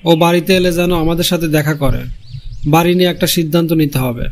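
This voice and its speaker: A man's voice: fairly high pitch, steady tone, fairly quick, natural voice. A man voicing an agreeable village brother in a moral-story cartoon, reasonable and conciliatory. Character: agreeable village brother settling family matters.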